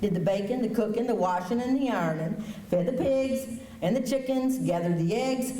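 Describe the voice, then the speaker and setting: A woman playing a firm, protective frontier woman in a radio drama, with a pointed, measured delivery. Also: a little high, mellow, natural voice. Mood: reproachful. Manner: pointed, reproachful, measured storytelling. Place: school auditorium stage. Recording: echoey auditorium, distant mic.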